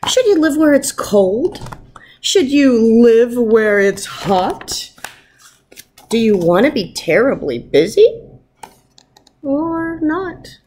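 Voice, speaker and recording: A woman in her thirties, a first grade teacher, talking informally to young pupils and reading aloud in an encouraging, expressive way. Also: fairly high pitch, slow, pauses often, a little hiss or hum. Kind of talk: warm informal message to pupils and picture-book read-aloud. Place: wood-ceilinged room at home, indoors. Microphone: webcam at arm's length.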